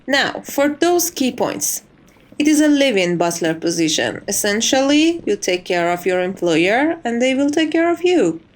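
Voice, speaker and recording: A woman's voice: on the high side, sing-song, unhurried. A woman playing a composed, strict but fond chief maid in an ASMR roleplay, speaking formally and with polite condescension. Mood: businesslike.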